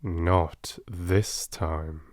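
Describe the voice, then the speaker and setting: A man reading a storybook tale aloud as an audiobook narrator, with expressive, dramatic and deliberate delivery. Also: deep voice, no room sound, studio quality.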